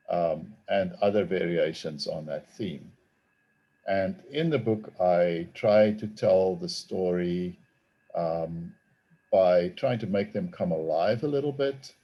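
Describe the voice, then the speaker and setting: A middle-aged man with a South African accent, a theology professor giving an online talk about his book in a measured, thoughtful way with frequent ums, heard over a video call. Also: sits low, creaky, dark tone, breathy edge.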